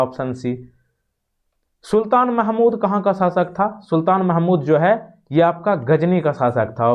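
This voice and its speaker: A man teaching a quiz lesson, reading out questions, rephrasing them and giving answers in an explanatory way. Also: on the high side. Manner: explanatory, repeats and rephrases each question, checking tags. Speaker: exam-prep teacher. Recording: dull, lo-fi sound.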